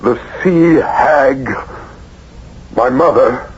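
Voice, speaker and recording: An actor in an old-time radio drama playing a troubled, fragile writer haunted by guilt, speaking in a measured, literary, brooding way. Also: male voice, medium pitch. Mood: tranced, tormented. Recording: dull, noisy vintage radio broadcast.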